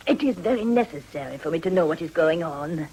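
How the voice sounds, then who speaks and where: An actress playing a worldly, teasing madam in a British period radio drama, coaxing, knowing and amused. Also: female voice, low-pitched, expressive, a little fast, mellow, breathy edge, exaggerated voice.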